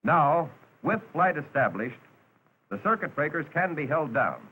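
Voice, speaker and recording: A man with an American accent narrating a 1940s instructional training film in a measured, instructive manner. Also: a little high, sing-song, phone-quality sound. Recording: noisy 1940s film soundtrack.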